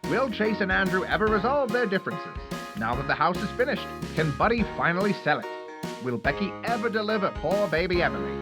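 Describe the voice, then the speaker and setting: Male radio serial announcer, high-pitched, swooping delivery, theatrical, music underneath. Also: very animated, a little fast. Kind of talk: old radio drama announcing, scripted. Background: prominent music.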